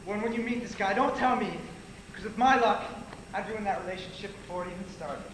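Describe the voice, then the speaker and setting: A man's voice: high-pitched, brisk, natural voice. A young male actor playing a hurt, earnest betrayed friend in an amateur stage play, projecting his lines. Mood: tender, rueful. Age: young adult. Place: theater stage. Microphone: distant audience camera. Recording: echoey, noisy theater recording from afar.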